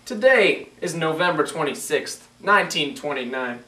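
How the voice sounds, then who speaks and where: A dapper male 1920s news anchor, acted by a man, with a bright, forward voice pitched a little high. He is genial and showy, using period slang. He sounds distant from the mic in an echoey room. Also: lively intonation, moderate pace. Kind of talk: scripted 1920s news broadcast.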